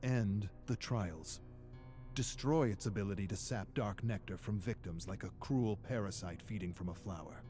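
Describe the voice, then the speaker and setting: An actor playing a weary, scholarly archivist of a dark otherworld, reading journal entries for a game in a measured, brooding way. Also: male voice, lowish voice, natural voice. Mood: grave. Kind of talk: scripted dramatic narration, journal entries. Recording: music behind the voice. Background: music.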